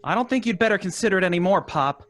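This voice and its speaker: A man's voice: sits high, expressive, racing, fairly bright tone. A defiant young boy. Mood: threatening. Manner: sulky, veiled threats to his father. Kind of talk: live audio drama acting.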